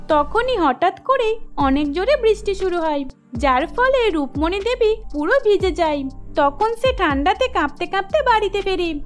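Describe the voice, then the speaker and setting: Very high-pitched woman storyteller. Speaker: narrator. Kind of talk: scripted cartoon story narration.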